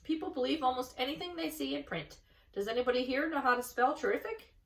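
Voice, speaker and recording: A woman voicing a brisk, clever female spider who takes charge in a storybook reading. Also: high-pitched, a bit bright, voice pushed up. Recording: echoey room, distant mic.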